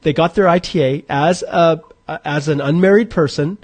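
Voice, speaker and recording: A man in his forties speaks in a fairly high voice for a man. He hosts a live online question session, walking viewers through a calculator step by step. His delivery is informal and conversational, with restarts as he thinks aloud. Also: moderate pace. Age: 40s. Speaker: immigration advisor hosting a live Q&A.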